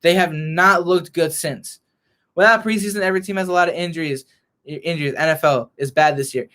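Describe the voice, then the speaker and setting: A young man ranting as a frustrated sports fan vlogger, hoarse and losing his voice, reading and answering chat. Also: a little high, fairly quick, sharp tone. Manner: frustrated, heated rant.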